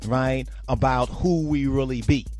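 Male podcast host, mid pitch, preachy rambling commentary. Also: measured pace, a little mellow, no echo, clean studio sound. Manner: rambling, repeats "you understand?".

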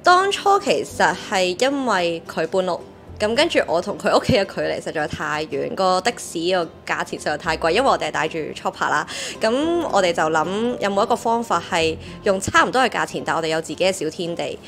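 Relaxed Hong Kong Cantonese woman. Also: lowish voice, brisk. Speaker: interviewee. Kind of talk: casual interview chat. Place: indoors, small living room.

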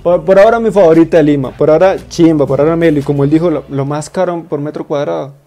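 A young man, chatting excitedly in slang as he reacts to a video in a small room, speaking into earphones. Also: on the high side, quick pace, faint music behind. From Colombia. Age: 20s. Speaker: YouTube reactor. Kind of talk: casual reaction commentary. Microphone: wired earphone mic.

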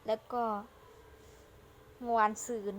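Casual gamer commentary over clear background noise. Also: female voice, takes it slowly. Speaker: gaming video host. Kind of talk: casual game playthrough commentary. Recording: clearly noisy home recording.

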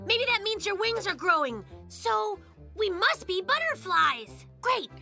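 A performer voicing a boastful, excitable young boy bear cub in a children's cartoon. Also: very high-pitched, unhurried, gravelly, exaggerated voice. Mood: eager. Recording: music behind the voice. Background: children's cartoon music.

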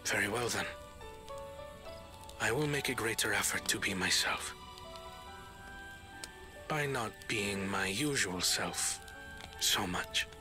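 An actor playing an earnest, bookish young prince in a video game, speaking in a measured, formal, reserved way. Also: male voice, lowish voice. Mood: thoughtful, resolved. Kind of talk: video game cutscene acting. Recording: background music under dialogue.

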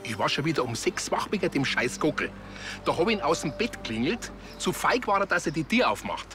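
An actor with a Bavarian accent playing a grumbling village neighbour, gruff and indignant. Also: male voice, a little high, very animated, fairly quick, a bit bright, soft background music.

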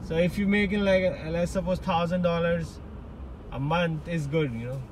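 Man from pakistan driving and chatting, laid back, lots of you know, car roar loud. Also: a little high, steady tone, normal speed. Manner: easygoing, fills with "you know, like". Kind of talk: casual chat. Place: inside a moving car on a road. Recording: loud road and engine noise.

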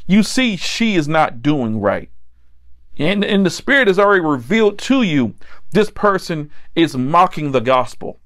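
A middle-aged American man preaches in a voice pitched a little higher than most men's. His sermon delivery is blunt and admonishing. He leans on repetition to press each point. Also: sing-song, measured pace, fairly bright tone. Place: indoor set with backdrop, standing at a table.

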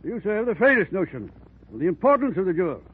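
An actor playing a pompous, wordy criminal mastermind with florid, formal manners in a 1940s radio drama. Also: male voice, high-pitched, sing-song, fast talker, breathy edge, heavily acted. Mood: veiled menace. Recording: muffled, noisy 1940s radio recording.

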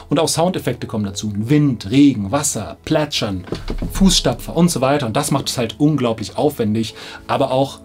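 A man's voice: mid-range voice, darkish tone. A man in his thirties explaining casually to camera as an audiobook director, with an enthusiastic, slangy manner. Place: small indoor studio control room. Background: background music.

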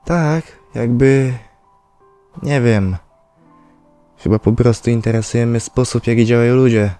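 Guy doing a smug creepy spirit villain, mellow dark-ish voice, soft music under it. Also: mid-range voice, unhurried, smooth, no echo, close to an everyday voice. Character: creepy cheerful male cursed spirit villain, mocking. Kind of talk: fan dub comedy parody.

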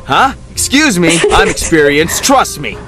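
A man's voice: on the high side, big pitch swings, unhurried. A man voicing a smug, teasing cartoon rabbit troublemaker, mocking and sarcastic, in a comic dub. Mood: boastful. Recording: music behind the voice.

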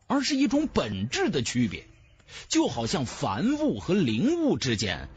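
A man's voice: high voice, breathy edge. A man narrating a fantasy novel in a theatrical, emphatic style, as a scripted audiobook.

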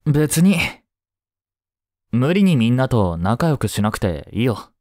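A man playing a kind, easygoing schoolteacher in a voice drama, gently and casually encouraging a student. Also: medium pitch, very animated, no room sound, clean studio sound. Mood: reassuring, encouraging. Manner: gentle, unhurried, casual, reassuring with long pauses. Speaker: voice drama performer. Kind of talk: Japanese voice drama, one-sided intimate talk to the listener.

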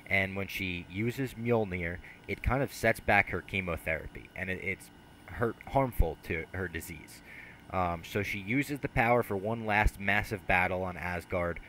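Young podcast host, low bright voice, laughs and swears easily, headset mic. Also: male voice, measured pace, a little hiss or hum, rough recording. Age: young adult. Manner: laughs often, swears casually. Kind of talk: casual podcast chat. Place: small room with brick wall. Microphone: headset mic on video call.

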